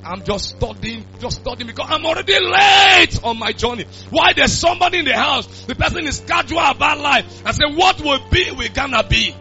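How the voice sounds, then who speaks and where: Pastor guy in his late 20s, high lively voice, preaching loud, kinda muffled with music under it. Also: normal speed, hardly any pauses. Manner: preaching, bursts of shouting. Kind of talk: sermon. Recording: dull lo-fi sound with music.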